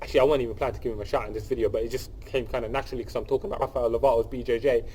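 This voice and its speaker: Fast-talking man with a cold. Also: medium pitch, fairly even intonation, a little mellow, slight background noise, poor audio. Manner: enthusiastic, repeats phrases, many fillers and restarts. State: sniffly from a cold. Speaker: channel host breaking down fights. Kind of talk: casual solo commentary to camera.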